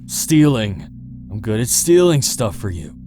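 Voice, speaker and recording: A man's voice: on the low side, big pitch swings, a little slow, warm tone, faint music behind. A man playing a defiant, bristling thief in a visual novel, protesting tensely. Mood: indignant.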